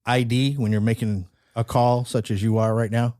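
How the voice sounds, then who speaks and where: A man's voice: medium pitch, little pitch movement, moderate pace, darkish tone, no echo, clean studio sound. A middle-aged or older man co-hosting a podcast in casual conversational chat. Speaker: podcast co-host.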